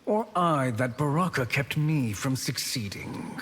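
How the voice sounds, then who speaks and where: A man playing a cold, haughty sorcerer villain in a video game, measured and menacing. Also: medium pitch, lively intonation, a bit bright, husky. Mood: cold contempt. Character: cold, sinister sorcerer villain with cruel scientific contempt. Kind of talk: video game character acting.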